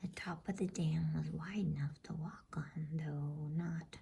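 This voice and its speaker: A woman reading a bedtime story in a soothing, breathy ASMR whisper with deliberate pauses. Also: low voice, steady tone, warm tone. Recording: faint background noise.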